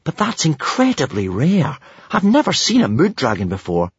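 A man's voice: medium pitch, big pitch swings, moderate pace, slightly rough, no echo, dull audio, pitched above the performer's own voice. A man voicing a sensible, earnest boy hero in a storybook. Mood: astonished. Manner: reassuring, earnest.

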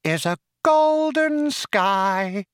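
A nasal ship's computer from a comic sci-fi audiobook. It is relentlessly cheerful and chummy, pitched high, with a whining, crooning swoop to everything. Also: male voice, big pitch swings, measured pace, bright, forceful tone, smooth, dry recording, clean studio sound, full character voice. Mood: crooning, wailing. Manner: crooning, wailing, over-familiar. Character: chirpy, relentlessly cheerful male ship's computer, singing mawkishly.

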